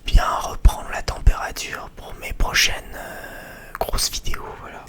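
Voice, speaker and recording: A man whispering breathily in a casual, unscripted ASMR chat, rambling and hesitating as he talks. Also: unhurried. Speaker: ASMR video creator.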